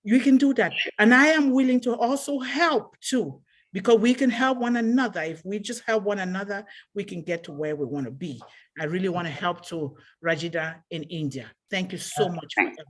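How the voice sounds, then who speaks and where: A woman's voice: mid-range voice, fairly quick. A woman from Cameroon speaking passionately and emphatically on an online call. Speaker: participant. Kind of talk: open mic speech.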